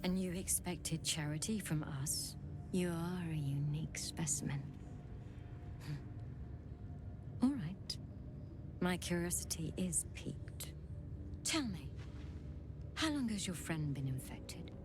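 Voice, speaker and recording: A woman's voice: fairly low pitch, lively intonation, takes it slowly, long pauses, background noise. A woman playing a haughty, clinical alien infirmary healer in a fantasy video game, coldly curious and condescending. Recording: music behind the voice.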